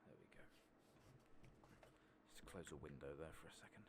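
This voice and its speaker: Male ASMR game streamer: extremely low, creaky, soft-spoken and whispery, clear background noise. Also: normal speed. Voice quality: gravelly low voice. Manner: drifts between murmuring and whispering. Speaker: gaming streamer.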